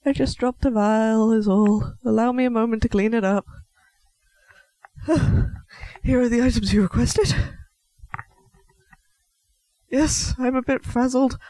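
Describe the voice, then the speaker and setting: A man's voice: very high-pitched, unhurried, mellow, dry recording, poor audio. A man playing a clumsy, nervous half-elf alchemist shopkeeper in a fantasy audio roleplay, stammering, apologetic and politely chatty. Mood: frazzled. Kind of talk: scripted fantasy audio roleplay addressed to the listener.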